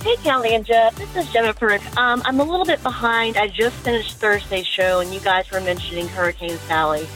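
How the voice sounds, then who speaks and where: A woman's voice: mid-range voice, brisk, hardly any pauses, fairly bright tone, faint music behind, rough recording. A woman from Alabama leaving a casual personal message as a listener of a show. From Alabama, United States.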